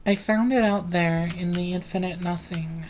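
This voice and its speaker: A let's player giving casual, rambling gaming commentary, profane and deadpan, with keys clicking. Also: mid-range voice, moderate pace, a little hiss or hum, poor audio. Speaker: let's play host.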